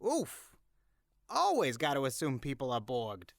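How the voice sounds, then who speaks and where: A man's voice: mid-range voice, wildly swooping pitch, a little slow, gravelly, no echo, clean studio sound, exaggerated voice. A man playing a casual, nosy, slightly dim gang enforcer who rambles in an audio drama. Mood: flippant. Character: laid-back, nosy, dim-witted gangland enforcer.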